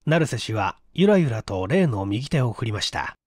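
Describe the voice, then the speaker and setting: A male audiobook reader narrates a story in a medium-pitched voice. The delivery is scripted narration, recorded with a dry sound and very little room. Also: very animated, moderate pace, a little mellow, dry recording.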